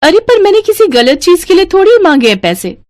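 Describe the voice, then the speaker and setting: Put-upon young bride, acted by a woman narrator, cartoon moral tale, raised animated voice, dry close recording. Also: very high voice, brisk, no echo, voice pushed up. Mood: defensive, pleading. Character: young newlywed daughter-in-law, put-upon and plaintive.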